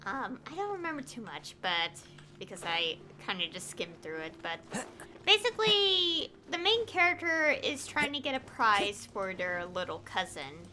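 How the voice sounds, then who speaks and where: A woman game streamer chatting casually on a livestream, rambling and telling stories in halting fragments. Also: medium pitch, very animated, bright, forceful tone, soft background music.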